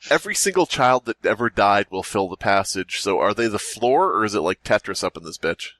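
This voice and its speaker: A man's voice: lowish voice, lively intonation, fairly quick, a bit bright, no echo. A man co-hosting a comedy podcast, bantering casually, reading creepy stories aloud and jokingly slipping into mock quotes. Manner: jokey, slips into mock quotes. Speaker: podcast co-host.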